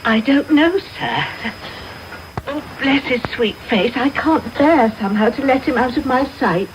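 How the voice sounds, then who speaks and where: A woman's voice: high voice, a little slow, muffled recording. An actress playing a doting, motherly and deferential English housekeeper in a radio drama, tender and fussing. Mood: uneasy, tender. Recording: noisy, hissy radio taping.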